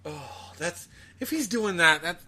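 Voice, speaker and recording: A man chatting casually as a podcast host, ranting in an animated, profane way. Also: sits high, measured pace. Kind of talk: casual chat about gaming news.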